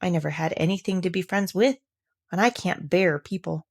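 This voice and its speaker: A woman voicing a frail, lonely, excitable young boy in a storybook reading. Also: on the low side, normal speed. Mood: wistful.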